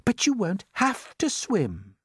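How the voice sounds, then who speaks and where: A storyteller voicing an eager, earnest young boy who stays calm among the creatures. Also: mid-range voice, moderate pace, dry recording, studio quality, pitched above the performer's own voice.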